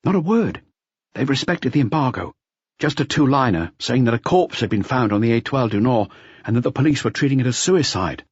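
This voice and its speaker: A man voicing an eager, chatty junior police detective who briskly confides his report to the chief. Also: mid-range voice, animated, husky, dry recording, lo-fi sound, pitched above the performer's own voice. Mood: brisk, confiding.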